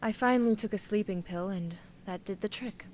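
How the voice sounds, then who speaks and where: An actress playing a poised, guarded young American diplomat's wife in a video game, composed and polite. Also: female voice, mid-range voice, a little fast, a little mellow, slight background noise, close to an everyday voice. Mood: matter-of-fact. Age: young adult.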